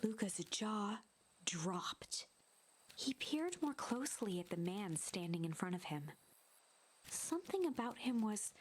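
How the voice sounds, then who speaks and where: A woman narrating a video game like a storybook, in a measured, dramatic way. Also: medium pitch, slight background noise, poor audio.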